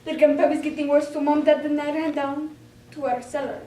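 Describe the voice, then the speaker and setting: A woman performing a dramatic monologue as a frightened, anguished young wartime girl, emotive and deliberate in delivery. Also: sits high, level tone, dark tone, a little hiss or hum, close to an everyday voice. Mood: frightened, grim. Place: indoor room, recorded from a distance. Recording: roomy echo, distant microphone.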